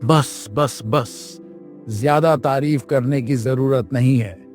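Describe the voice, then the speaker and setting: A man's voice: medium pitch, slow, darkish tone, soft background music, natural voice. A man voicing a lazy, arrogant, hot-tempered young prince in a children's moral story, with a haughty, bullying manner. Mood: spiteful.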